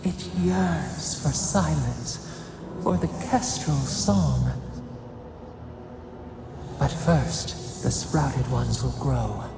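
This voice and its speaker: An actress playing an eerie, solemn prophetess in a fantasy game, speaking in an ominous incantation. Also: female voice, rumbling low, extremely slow, gravelly, pauses often, noisy background, exaggerated voice. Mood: ominous, hushed reverence. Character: eerie, solemn prophetic woman chanting about soil and silence. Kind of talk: video game dialogue acting. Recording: echoey, long reverb, music behind.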